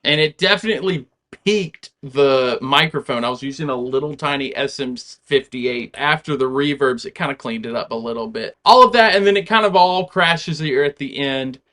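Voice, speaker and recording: Relaxed man chatting through a tutorial. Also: mid-range voice, normal speed, hardly any pauses. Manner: relaxed, chatty, loose explanations with frequent "like". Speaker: home musician explaining a song recreation. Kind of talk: casual tutorial walkthrough.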